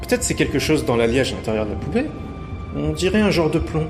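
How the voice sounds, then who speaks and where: A man's voice: a little high, very animated, fast talker. A man playing a small, cunning young thief, hesitant and cheeky, in an audio adventure drama. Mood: playful, cheeky. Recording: loud music behind the voice.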